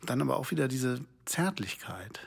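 An actor playing an emotional, worn-out radio colleague, tearful and halting as he talks. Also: medium pitch, sing-song, creaky, slightly rough, heavily acted. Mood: pained, tearful. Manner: halting, repeating words. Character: emotional, worn-out long-time male radio colleague, close to tears.

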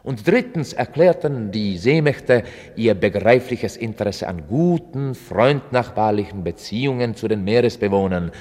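A sober chronicler with a fairly low voice. His scripted radio-drama narration is formal and deliberate, delivered with a straight, deadpan face. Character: sober male chronicler of an absurd future war.

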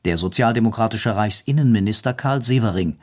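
Low-voiced man narrating a documentary. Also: a little fast. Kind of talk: scripted radio documentary narration.

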